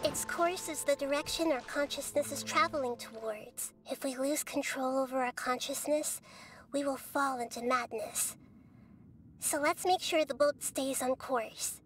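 A woman voicing a small, childlike but wise goddess in an anime-style video game, soft and youthful, speaking in a measured, thoughtful, formal way. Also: high-pitched, slight background noise. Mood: explaining.

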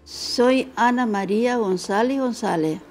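A woman in her eighties with a Canary Islands Spanish accent, reading a prepared introduction slowly and carefully into a handheld mic on a theater stage. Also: a little high, a little mellow, faint music behind. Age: 80s. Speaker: senior workshop participant. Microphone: handheld mic held by another person.

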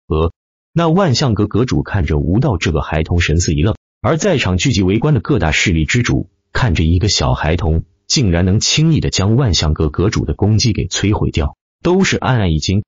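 Male audiobook narrator, low and husky, theatrical scripted delivery, close dry sound. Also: very animated, a little fast, no echo, lo-fi sound. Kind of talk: dramatic scripted web-novel narration.

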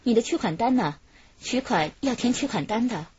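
A courteous bank clerk in a radio play, patient and businesslike, with animated intonation. The sound is somewhat dull. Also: female voice, a little high, moderate pace, husky, no room sound. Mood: polite, puzzled. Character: polite female bank teller, businesslike and patient. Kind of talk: radio drama acting. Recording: dull radio drama track.

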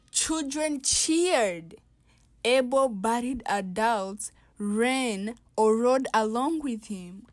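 Slow, careful young woman reader. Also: a little high, no echo. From Uganda. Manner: slow, deliberate storybook reading. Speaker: student reader. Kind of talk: reading a picture book aloud.

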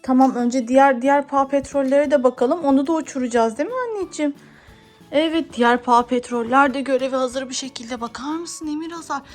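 A woman's voice: high-pitched, fairly quick, soft background music. A woman playing toys with her young son, narrating playfully for children and encouraging him with questions.

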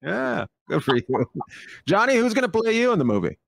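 Teasing male comedy host, gravelly voice. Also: high-pitched, sing-song, moderate pace, fairly bright tone, no room sound. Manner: teasing, mocking. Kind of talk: joking video-call panel. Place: home, on a video call. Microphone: video call.

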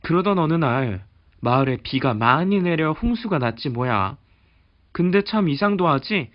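A man reads a children's fairy tale aloud in a gentle, chatty storytelling tone. His voice sits slightly high for a man, and the recording has a somewhat dull, lo-fi quality. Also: expressive, normal speed. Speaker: storybook reader.

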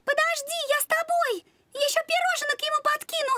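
A woman voicing a fussy, squeaky teenage sister in a children's cartoon. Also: steady tone, brisk, heavy background noise. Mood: excited. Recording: music behind the voice.

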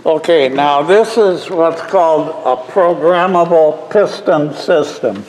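A man filming a casual guided tour of an old church, narrating slowly with frequent pauses and restarts. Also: a little high, a little mellow, poor audio. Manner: slow, halting narration with restarts. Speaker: church volunteer giving a video tour. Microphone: handheld camera.

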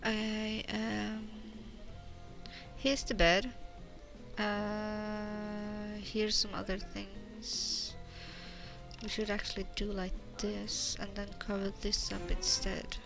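A woman's voice: mid-range voice, monotone, takes it slowly, gravelly, music in the background. A woman streaming a crafting game, chatting casually, muttering to herself and answering chat questions. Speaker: gameplay streamer. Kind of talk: casual let's-play commentary.

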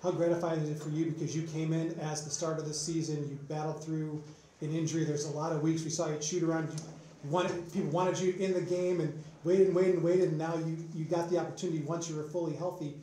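A man's voice: fairly high pitch, little pitch movement, fairly quick, dark tone, heavy reverb, slight background noise, poor audio. A male reporter asking long, leading questions at a sports press conference.

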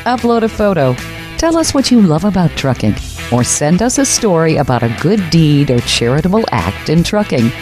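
A woman's voice: deep voice, big pitch swings, moderate pace, music in the background. A woman reading a scripted radio promo in an upbeat manner. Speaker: ad announcer.

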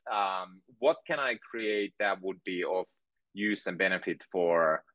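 Guy in his 40s on a video call, thoughtful storyteller, crisp bright tone, dry sound. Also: deep voice, measured pace, dry recording, highs cut off. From Finland. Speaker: guest entrepreneur. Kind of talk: interview conversation. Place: home room with bookshelves.

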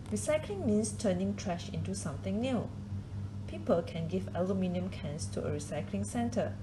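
A woman reading a children's nonfiction book aloud, deliberately and phrase by phrase. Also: on the low side, noisy background.

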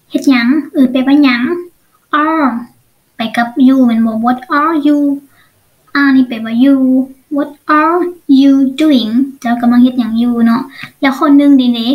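A woman's voice: high-pitched, darkish tone, lo-fi sound. A woman with a Lao accent teaching English in an online lesson, explaining step by step and often asking check questions.

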